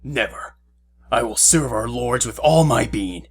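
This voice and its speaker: A man's voice: medium pitch, expressive, unhurried. Man doing an agitated, defiant street thug. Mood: fervent defiance. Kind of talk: audio drama acting.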